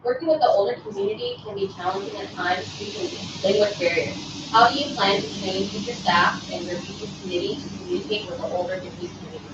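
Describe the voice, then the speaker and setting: A woman's voice: mid-range voice, animated, fast talker, highs cut off, heavy background noise. Distant woman moderator in an echoey room. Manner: reads questions plainly and evenly. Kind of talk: reading out questions.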